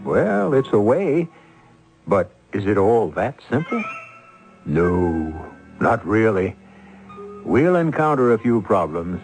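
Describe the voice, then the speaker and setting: Male radio host, lowish voice, wry urbane narration, expressive swings, music behind. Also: slow pace, pauses often, low-quality recording. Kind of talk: scripted theatrical narration.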